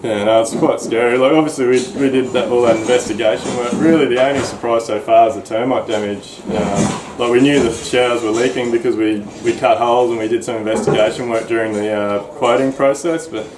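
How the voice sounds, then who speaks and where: A man in his thirties with an Australian accent, a builder explaining damage on a relaxed site walkthrough. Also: medium pitch, fairly quick, few pauses. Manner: relaxed, explanatory, lots of ums. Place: house under renovation, indoors. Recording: echoey bare rooms, noisy.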